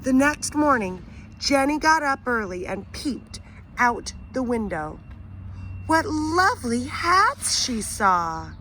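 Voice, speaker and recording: Slow, bright teacher reading to kids. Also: female voice, high-pitched, a little hiss or hum, poor audio. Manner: slow and expressive, asks the listener questions. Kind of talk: children's read-aloud story.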